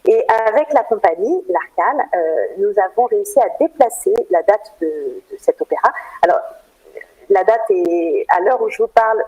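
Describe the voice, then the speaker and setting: A woman answering radio interview questions in an explanatory way, often pausing as she searches for words. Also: medium pitch, animated, mellow, dull audio. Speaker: theatre programme manager, interview guest.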